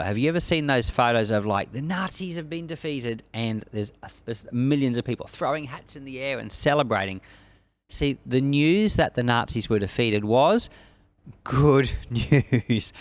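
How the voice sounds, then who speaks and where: A man giving a conversational Bible-teaching sermon, explaining with everyday examples and rhetorical questions, repeating key phrases for emphasis. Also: mid-range voice. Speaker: preacher teaching a Bible chapter.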